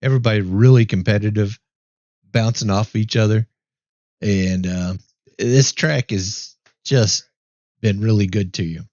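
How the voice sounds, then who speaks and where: A man hosting a race broadcast in a casual chat, easygoing and upbeat, his voice going hoarse. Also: on the low side, a little slow, long pauses, darkish tone, dry recording. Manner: easygoing, upbeat, rambling with fillers. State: losing his voice, clearing throat. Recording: dry, dull lo-fi mic.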